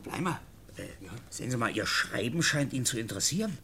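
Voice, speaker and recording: An actor playing a gruff, impatient police sergeant who barks orders and questions in a German radio drama. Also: male voice, medium pitch, sing-song, brisk, heavy background noise. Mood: curious, urging. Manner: barking, hesitant with official phrases.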